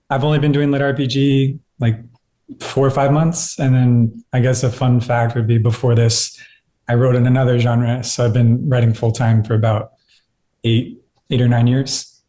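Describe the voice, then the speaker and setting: Flat, mellow-voiced man. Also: mid-range voice. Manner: brief, matter-of-fact. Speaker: panelist, author. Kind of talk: casual panel chat.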